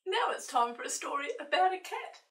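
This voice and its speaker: Small eager puppet-like toy played by a woman, squeaky-high and childlike, for kids' storytime, roomy recording. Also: very animated, fairly quick, a bit bright, full character voice. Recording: roomy echo, distant microphone.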